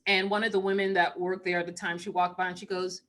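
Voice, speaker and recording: A woman's voice: fairly low pitch, level tone, fast, a bit bright. A woman in her fifties from Trinidad and Tobago, chatting casually and playfully as she hosts an online live stream. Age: 50s. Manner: informal, playful, breaking into chuckles. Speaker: spiritual channeler hosting a live stream Q&A. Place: online live video call.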